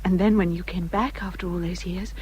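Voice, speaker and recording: A woman playing a gentle, self-effacing English gentlewoman in a period literary drama, speaking hesitantly and politely. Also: lowish voice, steady tone, fairly quick, highs cut off, slight background noise, natural voice. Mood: hushed, tender. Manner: hesitant, soft-spoken, polite.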